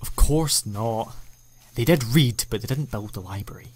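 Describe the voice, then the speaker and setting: A man's voice: medium pitch, animated, moderate pace, a little mellow, husky. An actor playing an eccentric, ancient male alien time traveller, enthusiastic and playfully self-mocking, in a fan audio drama. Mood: explaining.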